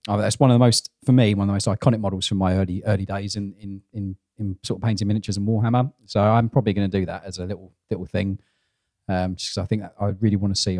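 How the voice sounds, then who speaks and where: Deep southern english guy on a podcast, chatty and rambly, really dry studio sound. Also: a little mellow, no room sound. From Essex, England. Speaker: podcast co-host. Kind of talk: casual chat. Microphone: close microphone on boom arm.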